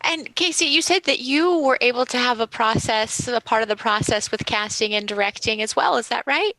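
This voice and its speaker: A woman's voice: on the high side, brisk, bright, forceful tone, dry recording, low-quality recording. A woman moderating a panel as a game writer, speaking in an enthusiastic, appreciative and articulate way. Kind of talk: panel discussion.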